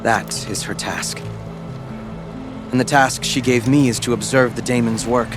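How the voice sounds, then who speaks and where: Casting: male actor for earnest shaman's apprentice, video game. Medium pitch, guarded and solemn, over music. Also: steady tone, a little slow, natural voice. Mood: solemn, troubled. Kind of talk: video game character acting.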